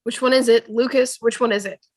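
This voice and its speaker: A woman's voice: on the high side, steady tone, a little fast, a little mellow, no room sound, natural voice. A woman playing a frantic, exasperated young teenage boy, urgent and pleading, in a fan table read. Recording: dry video-call audio, music underneath.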